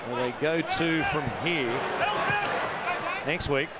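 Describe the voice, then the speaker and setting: A man calling a rugby league match live from a stadium commentary box, rising in excitement on big plays. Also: fairly high pitch, slow. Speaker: play-by-play commentator. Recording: broadcast with loud stadium noise.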